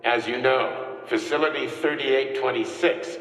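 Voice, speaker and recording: A man's voice: medium pitch, measured pace, fairly bright tone, lightly acted. A formal male official, voiced by a man in a video game, addressing a political council with ceremonious delivery. His voice sounds distant and carries a roomy, lingering echo.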